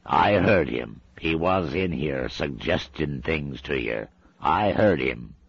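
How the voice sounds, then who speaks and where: A cantankerous old witchfinder sergeant, as a man puts him on, growling in a very deep, gravelly voice. He scolds fiercely and drags out his words slowly. The sound is dull and lo-fi. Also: animated, no room sound, full character voice. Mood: accusing.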